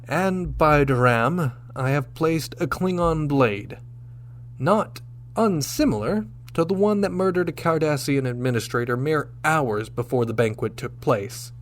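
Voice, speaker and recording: A man playing a confident, shrewd starship captain in a sci-fi radio drama, speaking with deliberate, commanding rhetorical pauses. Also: a little high, animated. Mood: confronting.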